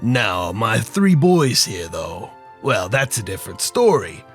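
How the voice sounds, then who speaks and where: A man playing a coldly threatening, businesslike crime boss in a noir radio drama, speaking formally and deliberately. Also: lowish voice, big pitch swings, soft background music. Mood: contemptuous.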